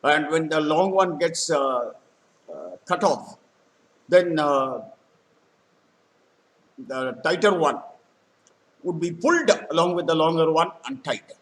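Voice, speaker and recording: A man telling a folktale in a halting, explanatory way, with frequent hesitant pauses. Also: on the high side, poor audio. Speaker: storyteller. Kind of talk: spoken folktale retelling with vocabulary explanations.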